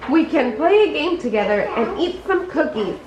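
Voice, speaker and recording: A woman's voice: high voice, expressive, moderate pace. A woman voicing an eager, bouncy, childlike male storybook tiger in simple, exclamatory phrases. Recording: echoey room with clear background noise.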